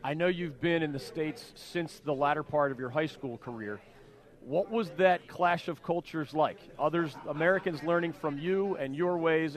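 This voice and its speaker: A man hosting a radio interview, talkative and fond of jokes, with a somewhat high voice. He keeps the questions coming and chats easily with his guest. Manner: talkative, quick, jokey. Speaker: radio show host.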